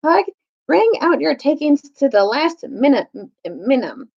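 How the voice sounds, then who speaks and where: A woman's voice: sits high, big pitch swings, a little slow, gravelly, a little mellow, dull audio, voice pushed up, full character voice. A woman voicing a righteous young king in a children's storybook, formal and commanding. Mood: stern, commanding. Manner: formal, declaring. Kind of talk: children's storybook read-aloud.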